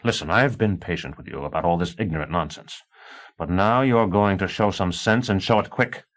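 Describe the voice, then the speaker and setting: A man's voice: deep voice, moderate pace, close to an everyday voice. A man reading an audiobook, voicing an exasperated professor husband who speaks firmly and impatiently. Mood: stern. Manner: firm, impatient.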